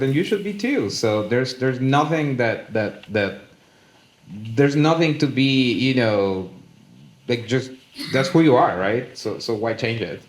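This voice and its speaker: Earnest man in a roomy hall. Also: mid-range voice. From El Salvador. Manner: earnest, many restarts and repeated words. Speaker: conference panelist. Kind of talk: candid panel talk. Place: conference room with live audience.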